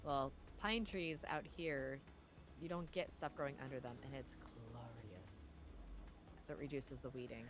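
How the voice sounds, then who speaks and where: A woman's voice: extremely low pitch, measured pace, faint music behind. A woman from California bantering playfully with a friend while gaming on stream. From California, USA. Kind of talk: casual chat while gaming.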